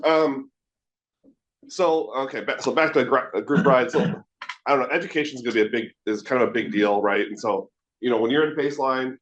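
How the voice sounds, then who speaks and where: Male participant, low halting voice, dull lo-fi recording. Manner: hesitant, lots of fillers and restarts. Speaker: workshop participant. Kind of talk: casual group discussion. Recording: dull lo-fi room recording with faint noise.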